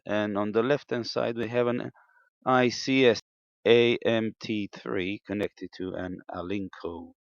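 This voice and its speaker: A man giving an unscripted, step-by-step technical demonstration in a hesitant, halting way, in a slightly muffled room recording. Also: lowish voice, steady tone, vocal fry, no echo. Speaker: amateur radio operator demonstrating equipment.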